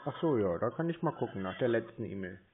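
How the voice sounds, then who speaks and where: Male, casual muttering phone talker playing slow, thin phone-band sound with faint noise. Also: medium pitch, expressive, narrow, tinny audio. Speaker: scambaiter taking a scam call.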